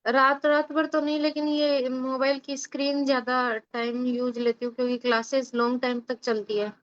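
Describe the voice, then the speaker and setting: A woman's voice: high voice, flat delivery, normal speed, smooth, no echo, highs cut off. A woman in her twenties describing her health problems as a patient on a video call, polite and a bit hesitant as she lists her symptoms. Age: 20s. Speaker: patient, recent Ayurveda graduate. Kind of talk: casual consultation answers.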